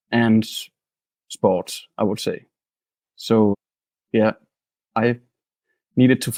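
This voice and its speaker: A man from Denmark, a band member answering in short halting phrases and laughing easily. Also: fairly low pitch, darkish tone, no room sound. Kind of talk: casual interview answers.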